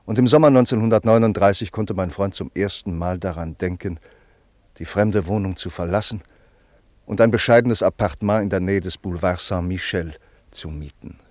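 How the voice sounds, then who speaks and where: A man narrating a radio play in a calm, measured way. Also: low-pitched, no room sound. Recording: dry close studio sound.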